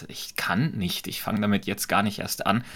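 A man with a light Franconian accent, a podcast guest talking in a reflective, measured way and explaining with examples. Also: fairly low pitch, a bit bright. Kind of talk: conversational podcast talk.

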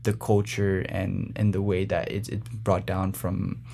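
Low flat-voiced young man. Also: unhurried, creaky, slight background noise. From Colombia. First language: Spanish. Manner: earnest, many restarts and fillers. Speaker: guest, former student drummer. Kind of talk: interview answers.

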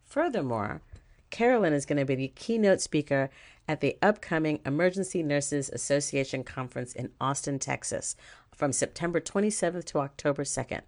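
A woman co-hosting a podcast, reading a scripted introduction and then chatting with a guest, upbeat and quick to laugh. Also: low-pitched, lively intonation, darkish tone. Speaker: podcast co-host, not a nurse.

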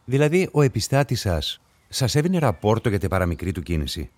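Low-voiced, probing police inspector. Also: male voice, very animated, a little mellow. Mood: probing, ironic. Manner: musing, questioning, dryly ironic. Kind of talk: radio play acting and monologue.

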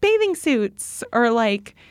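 High-voiced, self-deprecating woman co-host. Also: very animated, slow pace, no room sound, studio quality. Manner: self-deprecating, exaggerating, sweary. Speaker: podcast co-host and producer. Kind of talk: casual comedic chat.

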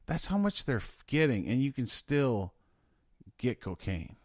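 A man co-hosting a podcast in casual chat, excitable and swearing as he riffs on questions. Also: mid-range voice, unhurried, dry recording, clean studio sound. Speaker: podcast co-host.

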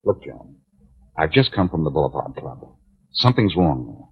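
An actor playing a cool, quick-witted detective in a 1940s radio drama, clipped, wisecracking and urgent. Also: male voice, extremely low pitch, very animated, gravelly, slightly rough, dull audio, heavily acted. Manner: clipped, wisecracking, hard-boiled.

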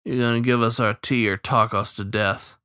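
A man voicing a gruff, fatherly riverboat captain with a folksy growl. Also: mid-range voice, fairly even intonation, moderate pace, sharp tone, no room sound, clean studio sound, close to an everyday voice. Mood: grumpy.

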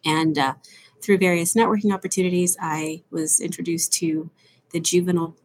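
A woman from Los Angeles and Salt Lake City, explaining her work in a measured way as an interview guest on a video call. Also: fairly low pitch, fairly even intonation, darkish tone. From born in Los Angeles, raised partly in Salt Lake City. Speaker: guest, company president. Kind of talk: remote interview answers.

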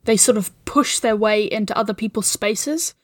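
A woman's voice: mid-range voice, moderate pace, dry recording, studio quality. A woman guest hosting a casual film discussion in a thoughtful, agreeable and descriptive way. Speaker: podcast guest host.

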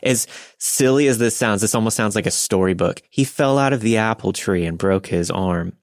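A man's voice: lowish voice, moderate pace, dry recording, studio quality. A man in his thirties chatting casually as a podcast host. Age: 30s. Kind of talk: casual chat.

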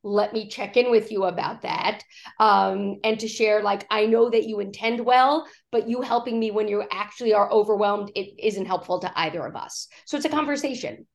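A woman executive coach, hoarse from a cough, talking in a podcast interview and acting out quoted speech as she tells stories. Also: mid-range voice, normal speed. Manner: animated storytelling, acts out quoted speech.

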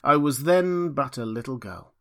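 A man's voice: mid-range voice, animated, moderate pace, close to an everyday voice. Guy reading the part of a young village mum in a storybook, plain and matter of fact. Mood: matter-of-fact, regretful. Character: comely young village mother, plain-spoken.

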